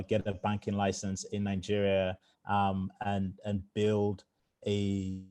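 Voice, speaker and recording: Low flat-voiced British founder talking casually. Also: male voice. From England. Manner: conversational, lots of fillers, low flat voice. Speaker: startup founder and CEO. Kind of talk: fireside chat, casual.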